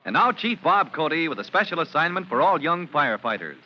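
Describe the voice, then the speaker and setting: A man's voice: a little high, sing-song, brisk. A man announcing a children's radio serial in scripted, old-time style. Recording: muffled old radio recording.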